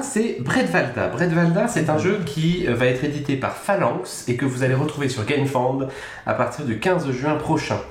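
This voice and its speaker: Chatty man in an echoey room. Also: mid-range voice. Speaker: podcast co-host. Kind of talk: casual chat about board games.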